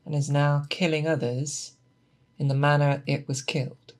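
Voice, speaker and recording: A woman playing a seasoned, patient monster hunter in an ASMR roleplay, speaking softly and thoughtfully to an apprentice, close to the mic. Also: low-pitched, level tone, close to an everyday voice. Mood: musing, grave. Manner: deliberate, hesitant, thoughtful explaining. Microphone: close binaural microphone.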